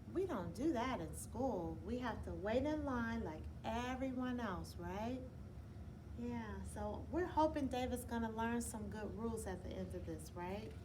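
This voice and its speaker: Woman teacher, storytime read-aloud, gentle and explanatory, slightly high voice, audible background noise. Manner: gentle and explanatory, often checks in with "right?". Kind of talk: reading a picture book aloud to children.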